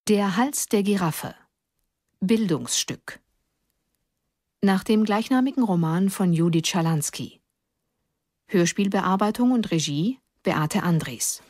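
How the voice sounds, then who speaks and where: A woman reading the title and credits of a radio play in a formal, deliberate way. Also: medium pitch, expressive, a little mellow, no echo, studio quality. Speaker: title announcer.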